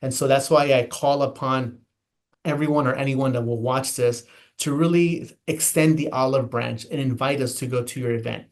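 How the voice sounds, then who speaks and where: A man's voice: medium pitch, steady tone, normal speed. An American man, a guest elections official, explaining voting steps in an earnest, emphatic way, often repeating and restarting phrases. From United States. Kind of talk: interview explanation.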